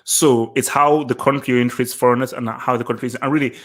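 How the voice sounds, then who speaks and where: A man from Nigeria and England hosting a casual live-stream discussion, phrasing things deliberately and repeating them for emphasis. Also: mid-range voice, steady tone. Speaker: podcast host.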